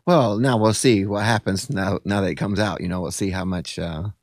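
A man's voice: fairly low pitch, moderate pace, dry recording. American man, 40s, earnest radio guest, dry studio mic, loose rambling delivery. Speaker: guest, actor and evangelist. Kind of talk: casual interview talk.

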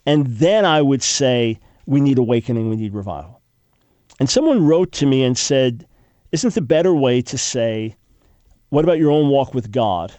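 A man's voice: mid-range voice, expressive, moderate pace, warm tone, no echo. An older man hosting call-in talk radio, sounding congested, arguing emphatically with frequent restarts. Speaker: radio talk show host.

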